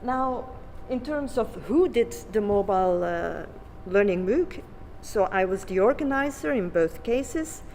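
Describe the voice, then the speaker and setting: A woman with a Flemish accent gives a conference talk, speaking haltingly with many fillers and restarts. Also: mid-range voice, animated, a little mellow, slight background noise. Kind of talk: conference presentation. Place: conference hall. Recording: long reverb in a conference hall.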